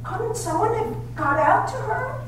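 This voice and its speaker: Actress as a high-voiced anxious young wife, slow and hesitant, echoey distant room. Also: female voice, animated. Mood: curious. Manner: hesitant, stammering, pleading. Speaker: amateur radio drama actor and narrator. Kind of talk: 1930s radio drama. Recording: echoey, distant, faint hiss.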